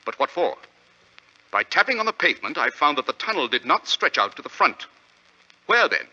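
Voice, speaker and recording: A man acting a brilliant, self-assured detective in a 1940s radio drama, explaining his deductions in a crisp, theatrical manner. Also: on the high side, big pitch swings, moderate pace, sharp tone, full character voice. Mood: confident, explanatory. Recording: dull, lo-fi vintage radio recording.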